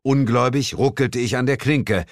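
A man narrating an audiobook in the first person with a dramatic, expressive reading. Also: medium pitch, moderate pace, no room sound, studio quality. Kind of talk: scripted narration.

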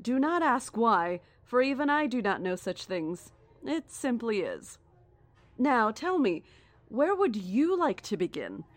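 A woman playing a serene, menacing cult leader in an audio drama, formal, measured and condescending. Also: on the high side, big pitch swings, dry recording. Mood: courteous, ominous.

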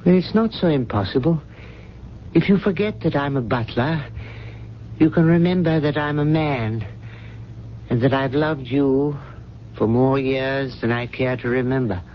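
An earnest, protective older butler, acted by a man in a vintage radio play. His mid-pitched voice is grave and courteous, heard on a dull recording with faint noise. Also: measured pace, long pauses, natural voice. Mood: tender, earnest. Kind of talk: 1970s radio drama acting.

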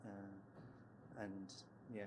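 A man with a Scottish accent, speaking as a screenwriter on a live panel discussion, relaxed and a little hesitant. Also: low voice, very slow, a little hiss or hum, low-quality recording. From Scotland. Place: event venue, live audience.

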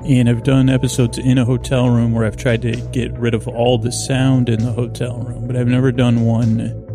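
Creaky-voiced man rambling a bedtime monologue. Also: mid-range voice. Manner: meandering, halting, repeats and trails off mid-sentence. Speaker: podcast host. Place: hotel room with freeway outside.

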